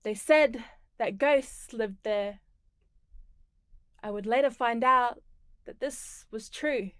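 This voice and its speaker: A woman from the Torres Strait Islands and Tonga, performing a spoken word poem in a slow, measured and deliberate way. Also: a little high, fairly bright tone, clear, resonant tone, no echo, clean studio sound. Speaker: performing poet.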